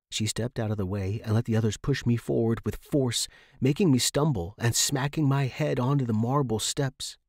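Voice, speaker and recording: A man's voice: mid-range voice, a little mellow, no room sound, studio quality. A man hosting a fiction podcast and narrating scripted horror in a dramatic style.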